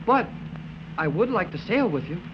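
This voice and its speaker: A man's voice: high-pitched, sing-song, normal speed, lo-fi sound, background noise. An actor playing an eager, earnest, polite teenage boy in an old 1930s radio drama. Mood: wheedling. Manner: earnest, boyish, folksy exclamations.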